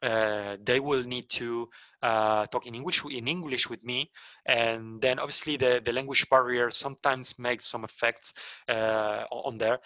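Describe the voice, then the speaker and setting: A man whose first language is Spanish, giving a sales training webinar in a halting way with many fillers and self-corrections. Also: medium pitch, steady tone, sharp tone, dry recording. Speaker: partner program trainer.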